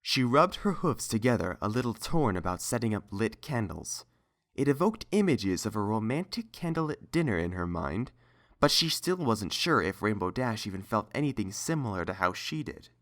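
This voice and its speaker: A narrator reading a scripted story aloud as an audiobook, in a measured storytelling manner. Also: mid-range voice, very animated, a bit bright. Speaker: narrator and reader.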